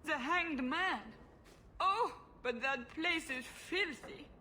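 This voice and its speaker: An actress playing a flighty, high-strung noblewoman mother in a video game, evasive and theatrical. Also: slow, sharp tone, slight background noise, exaggerated voice. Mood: dramatic dismay.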